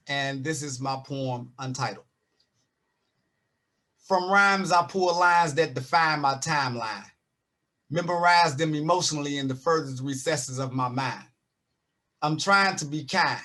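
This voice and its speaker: Bright, higher-pitched man performing spoken word. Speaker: poet. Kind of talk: rhythmic spoken-word poetry.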